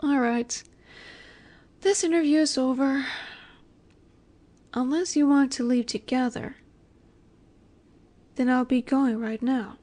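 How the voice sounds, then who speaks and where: A woman playing a flirty, confident female mafia boss in a roleplay audio drama, coy and teasing with breathy asides. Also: high-pitched, fairly even intonation, slow pace, long pauses, dark tone. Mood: seductive, teasing.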